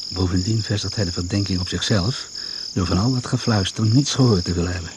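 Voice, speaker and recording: Man acting low-voiced, wry older investigator, noisy recording. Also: a little fast, natural voice. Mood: shrewd, probing. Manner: wry, reasoning step by step. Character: older male investigator in the jungle, shrewd, wry, fatherly. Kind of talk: Dutch radio drama acting. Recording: noisy old radio play recording.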